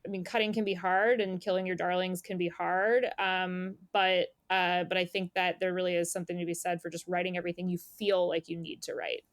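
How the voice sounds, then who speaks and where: A woman's voice: lowish voice, little pitch movement, a little fast, few pauses, no echo. A woman speaking as an interviewed editor, talking casually and thoughtfully in an informal, conversational way. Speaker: magazine editor being interviewed.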